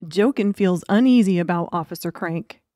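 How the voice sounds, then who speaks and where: A woman's voice: lowish voice, moderate pace, warm tone, dry recording, clean studio sound. A woman reading scripted story narration as an audiobook narrator.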